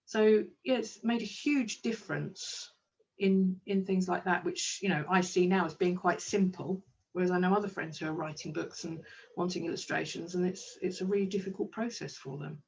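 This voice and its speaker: A woman's voice: fairly low pitch, moderate pace, creaky, far from the mic, highs cut off. A woman, a kinesiologist and business owner, talking enthusiastically in a casual interview with plenty of ums. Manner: enthusiastic, chatty, with frequent ums.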